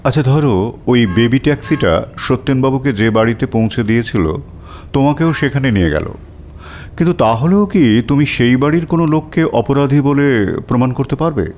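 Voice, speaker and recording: A man's voice: mid-range voice, animated, normal speed, darkish tone, a little hiss or hum, natural voice. A man playing a detective's companion who narrates the mystery adventure as dramatic audio storytelling. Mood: curious.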